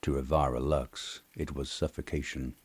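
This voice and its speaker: Extremely deep, husky male audiobook narrator. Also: level tone, normal speed, dry recording. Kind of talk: scripted novel narration.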